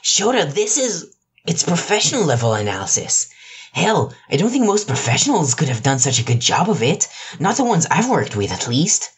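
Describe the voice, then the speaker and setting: A narrator voicing an excitable, flabbergasted husband who exclaims breathlessly in an audiobook-style story. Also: medium pitch, normal speed, slightly rough, pitched above the performer's own voice. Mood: stunned, awed. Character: excitable, flabbergasted husband, stunned and muttering in disbelief.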